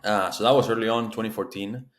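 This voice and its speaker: A man, a startup founder speaking as a guest in a casual podcast interview and talking in a measured way with many fillers and restarts. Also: lowish voice, steady tone, a bit bright. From Argentina.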